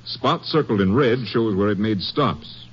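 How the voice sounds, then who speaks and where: An actor playing a grave, businesslike Texas Ranger captain with a clipped, matter-of-fact manner in a 1950s western radio drama. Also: male voice, fairly low pitch, unhurried, close to an everyday voice. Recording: dull, faintly noisy old radio recording.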